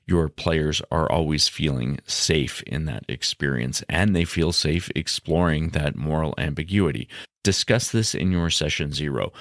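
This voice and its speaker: A man with an extremely low-pitched voice presents a podcast monologue. He explains ideas in a measured, earnest and articulate way. The sound is close and dry, with no room around it. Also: no echo. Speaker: podcast host.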